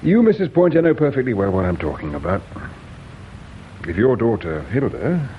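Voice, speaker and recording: An actor with an English accent playing a polite, patient, shrewd police inspector in a British radio drama, measured and courteous. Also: male voice, medium pitch, big pitch swings, lightly acted. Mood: grave, insistent. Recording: dull radio drama recording with hiss.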